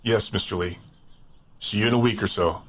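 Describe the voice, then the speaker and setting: An actor playing a male community leader on a supply run, speaking in a steady, businesslike way. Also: on the low side, normal speed, heavy background noise, natural voice. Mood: matter-of-fact. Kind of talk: audio drama acting.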